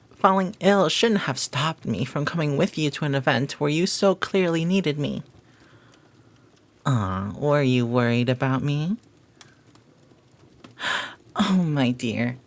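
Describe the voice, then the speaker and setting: A performer playing a flirty, devoted maid who teases and gossips in formal, flowery phrasing, in a scripted romantic audio roleplay. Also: medium pitch. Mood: concerned, doting.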